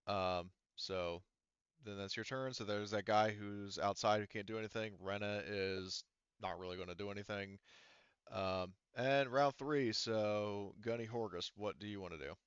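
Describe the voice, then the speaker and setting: A man's voice: fairly low pitch, fairly even intonation, moderate pace, clear, resonant tone, no echo, lo-fi sound. A man with an informal, sweary way of talking, running an online tabletop roleplaying game as game master.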